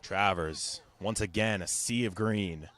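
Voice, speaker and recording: A man's voice: low voice, unhurried, a bit bright. A man calling live soccer play-by-play in clipped, fragmentary phrases. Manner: clipped, fragmentary calls of the action. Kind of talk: live television sports commentary.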